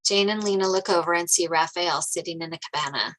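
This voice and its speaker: A woman narrator reads screenplay directions aloud. Her voice is fairly low for a woman but bright and forceful in tone. The delivery is scripted narration, setting scenes and describing action. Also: fast, rough recording.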